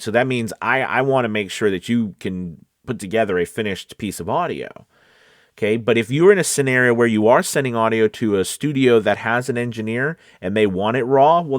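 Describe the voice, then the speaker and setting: Low-voiced man giving casual, emphatic coaching advice. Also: dry recording, studio quality. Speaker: voice-over coach and casting director. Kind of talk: casual direct-to-viewer advice talk.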